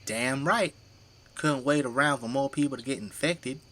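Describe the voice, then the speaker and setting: A man's voice: medium pitch, normal speed, fairly bright tone, natural voice. An actor playing a folksy, boastful, tipsy middle-aged father who fights zombies with a flamethrower in an audio drama. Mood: matter-of-fact. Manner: folksy, colloquial, easygoing bragging.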